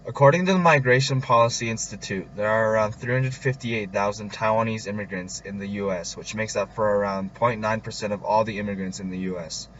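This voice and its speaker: Male student narrator, low voice, reads a scripted report, slightly dull audio. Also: fairly even intonation, a little fast, few pauses, a bit bright, slight background noise. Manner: reading a school report aloud. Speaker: student presenter.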